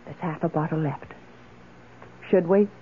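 A woman's voice: sits low, unhurried, husky. An actress playing an elderly, tipsy woman, wistful and fond, in theatrical 1970s radio drama style. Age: older. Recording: muffled old radio broadcast.

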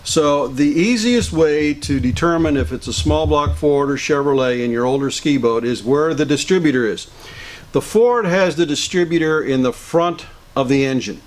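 Older male mechanic, plain-spoken teacher with a slightly high voice, recorded in a boat shop. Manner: plain-spoken, many uh fillers, hands-on demonstrating. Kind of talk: workshop how-to explanation. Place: large boat workshop indoors. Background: idling boat engine.

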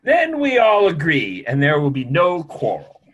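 A man's voice: a little high, huge pitch swings, measured pace, a bit bright, exaggerated voice, poor audio. A man in his sixties in a play reading, playing a genteel old doctor who reproves in a formal, declamatory way. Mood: reproving. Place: small room, video call.

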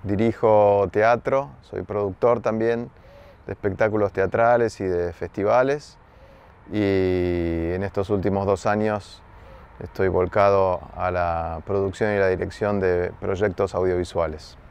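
A man, a theatre director speaking to camera in a garden, thoughtful with pauses and fillers. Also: deep voice, fairly even intonation, gravelly, a little mellow, smooth, muffled recording. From Argentina. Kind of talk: interview to camera. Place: garden outdoors. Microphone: close camera framing.

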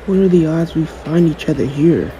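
A man's voice: on the high side, moderate pace, mellow, a little hiss or hum, close to an everyday voice, poor audio. A man playing a cocky, jaded young superhero in an audio roleplay, talking in a dry, sarcastic, aloof way. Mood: guarded, dismissive. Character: jaded, cocky young male superhero, dismissive of his fan. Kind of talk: audio roleplay acting, one-sided conversation.

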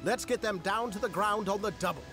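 A man voicing a lively, encouraging and lightly teasing air traffic instructor in a video game tutorial. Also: sits high, fairly quick, over music, natural voice. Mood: urgent. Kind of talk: scripted game instruction voice-over.

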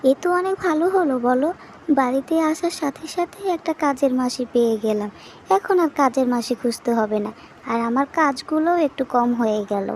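A woman acts as an excitable housewife in a horror cartoon. Her voice is high and expressive, chatty and coaxing, with faint noise behind it. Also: brisk. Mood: delighted. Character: excitable, homely young wife in a new house.

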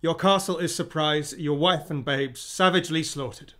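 A man's voice: on the high side, moderate pace, fairly bright tone, natural voice. An actor playing a sombre nobleman who bears grim news, in classical radio drama style. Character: Ross, sombre nobleman bearing terrible news.

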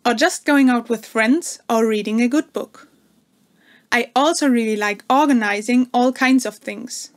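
A woman's voice: a little high, measured pace. Young German woman introducing herself. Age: 20s. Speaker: violinist. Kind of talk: self-introduction to camera. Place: small room at home.

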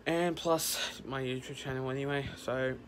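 A young man from Australia speaks close to a phone camera indoors, looping through a loose, repetitive sign-off. His voice sits at an ordinary pitch for a man. A clear hiss of noise runs under the recording. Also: steady tone, moderate pace. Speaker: vlogger. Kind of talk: vlog outro to camera.

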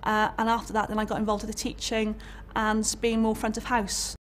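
Welsh woman lecturer chatting away in an interview, lots of ums and restarts, mid pitch, faint room hum. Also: little pitch movement, fairly quick. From Wales. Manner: chatty, candid, many fillers and restarts. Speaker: college lecturer. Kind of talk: career interview. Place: indoor college room.

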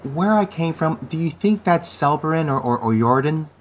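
A man playing an anxious, earnest teenage boy in a fantasy audio drama, speaking haltingly. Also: on the high side, lively intonation, slight background noise, natural voice. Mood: earnest theorizing. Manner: hesitant, halting, stammering.

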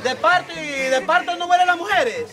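High-voiced deadpan street comic. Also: male voice, a little fast. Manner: deadpan straight man, teasing and threatening in jest. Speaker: street comedian. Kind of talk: improvised street comedy sketch. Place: outdoor plaza before a large seated crowd. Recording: outdoor crowd noise and music.

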